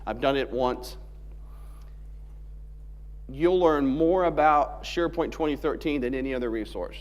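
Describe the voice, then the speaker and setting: A man's voice: mid-range voice, measured pace. A man from Alabama giving a technical conference talk in a joking, conversational way. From Alabama, USA. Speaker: conference speaker. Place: large conference hall stage. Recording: long hall reverb on stage mic.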